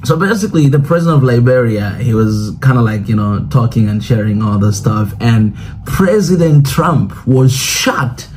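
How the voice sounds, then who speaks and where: A man talks through news clips in a loose, rambling way, circling back with the same fillers. His voice sits at a middle pitch, and a faint hiss runs under it. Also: expressive, moderate pace, few pauses, darkish tone, low-quality recording. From Tanzania. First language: Swahili. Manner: rambling, repeats "you know what I'm saying". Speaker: reaction video host. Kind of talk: casual commentary reacting to news clips.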